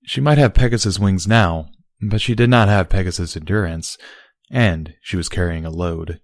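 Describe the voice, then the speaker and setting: Low-pitched man narrating a story, dry sound. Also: moderate pace, no echo. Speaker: audiobook narrator. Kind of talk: scripted story narration of fan fiction.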